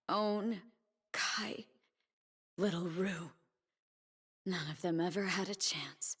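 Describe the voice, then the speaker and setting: Woman playing commanding warrior woman, slow, reverberant. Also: mid-range voice, natural voice. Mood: sorrowful. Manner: commanding, measured, solemn. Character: proud, commanding female warrior dancer defending her homeland. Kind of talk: scripted video game character lines.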